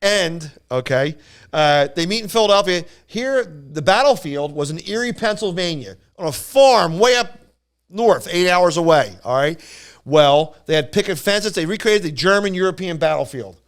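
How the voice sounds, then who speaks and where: Stammering male storyteller with a clear voice. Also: high voice, expressive, moderate pace. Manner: rambling, stammering, repeats himself. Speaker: storyteller on a podcast. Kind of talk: casual anecdote telling.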